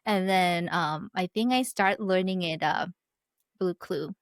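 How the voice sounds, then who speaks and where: A woman's voice: on the low side, measured pace, no room sound, clean studio sound. A woman whose first language is Thai, a guest talking casually with many hesitant fillers. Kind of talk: casual conversation.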